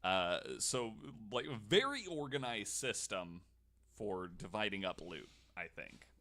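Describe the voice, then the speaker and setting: Male podcast host, lower register, crisp bright edge, loose comedic storytelling with wry asides. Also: very animated, a little slow. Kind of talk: casual comedic storytelling from notes.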